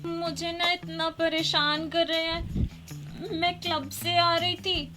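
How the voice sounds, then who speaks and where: A woman's voice: very high voice, flat delivery, slow, music in the background. A woman playing a distressed, pleading young woman on a prank phone call, in a plaintive, coaxing way. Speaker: prank caller.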